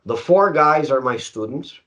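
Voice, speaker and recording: A man's voice: medium pitch, animated, unhurried, a bit bright. Middle-aged Brazilian man hosting a podcast. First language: Brazilian Portuguese. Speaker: podcast host; English teacher. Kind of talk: conversational storytelling and interviewing.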